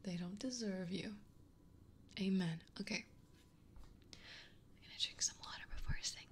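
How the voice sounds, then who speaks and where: A young woman streamer whispering softly and gently, chatting with viewers in an ASMR livestream. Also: medium pitch, normal speed. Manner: soft whispering, gentle and reassuring.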